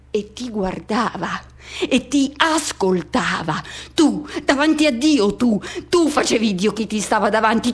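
Wistful man remembering first love, higher-pitched. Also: husky. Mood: fervent. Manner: fragmented, repetitive, poetic phrasing. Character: man recalling his first teenage love for another boy. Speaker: actress performing a radio drama monologue. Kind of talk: dramatic radio theatre monologue.

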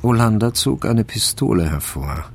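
Low, gravelly male crime narrator. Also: big pitch swings, moderate pace, a little hiss or hum. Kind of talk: literary scripted narration of a radio crime drama.

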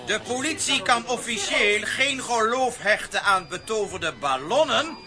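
An actor playing a pompous, formal police commissioner who repeats himself, in a children's radio play. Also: male voice, high-pitched, animated, a little slow. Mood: officious. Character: fussy, self-important police commissioner. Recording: music and crowd behind voice.

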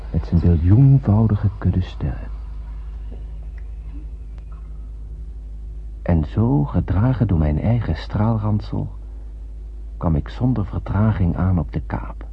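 A man's voice: on the low side, breathy edge, full character voice. An actor playing a dreamy, solemn space wanderer who narrates his tale in a poetic, declamatory radio play manner. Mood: lyrical, yearning. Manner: poetic, declamatory, dramatic, with hushed phrase endings. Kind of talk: scripted radio play monologue. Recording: tinny narrow-band radio recording with music.

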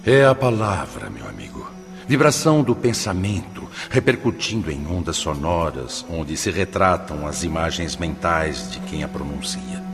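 An actor playing a benevolent, wise spirit mentor in a radio drama, explaining solemnly and patiently. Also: male voice, deep voice, animated, gravelly. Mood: solemn, instructive. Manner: solemn, didactic, measured explaining. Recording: long cavernous reverb, loud music underneath. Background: music.

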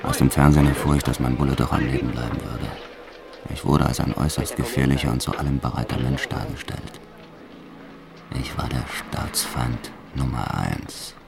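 Very deep, gravelly gangster. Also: male voice, normal speed, noisy background. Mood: grim. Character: hardened male gangster recounting his crimes, defiant, world-weary. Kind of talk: first-person radio drama narration with acted dialogue.

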